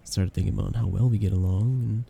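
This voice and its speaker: A man's voice: fairly low pitch, steady tone, quick pace, gravelly, dark tone, slightly rough, heavily acted. A man playing a shy young guy confessing love to a best friend in an intimate ASMR romance roleplay, tender and hesitant. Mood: tender, earnest.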